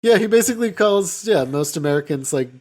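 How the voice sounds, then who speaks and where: A man's voice: sits high, expressive, a little fast, darkish tone. A man hosting a podcast, talking casually through films in a rambling way full of filler words. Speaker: podcast host. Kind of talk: casual film discussion.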